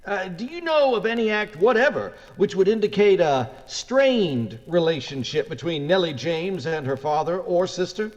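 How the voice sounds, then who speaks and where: A man's voice: high-pitched, animated, normal speed, few pauses, in a large hall, muffled recording. A man playing an earnest, courteous small-town defense lawyer with formal, theatrical delivery in an old-time radio drama.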